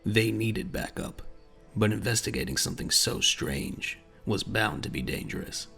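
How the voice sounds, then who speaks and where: A man with a gravelly voice narrating a dramatic audio drama in a grave, measured storytelling manner. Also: animated, pushed and bright. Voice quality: very deep, gravelly. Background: faint music.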